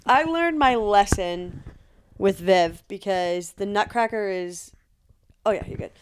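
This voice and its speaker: A woman co-hosting a podcast, chatty and quick to laugh along with her guests. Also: mid-range voice, slight background noise. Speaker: podcast co-host. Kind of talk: casual chat.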